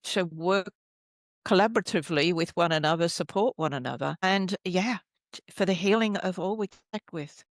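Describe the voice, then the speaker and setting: A woman's voice: lowish voice, normal speed, no room sound. A woman, a guest healer telling her story in an earnest, searching way. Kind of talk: casual spiritual interview talk.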